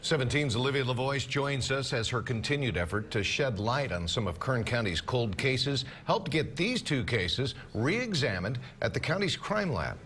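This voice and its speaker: American male anchor, mid-pitched and animated, scripted news intro. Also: normal speed, few pauses, a little hiss or hum.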